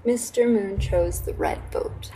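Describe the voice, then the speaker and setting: A young adult woman reading a picture book aloud as a storyteller, with a gentle, deliberate delivery. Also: medium pitch, slight background noise, poor audio. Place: small indoor room with fabric backdrop.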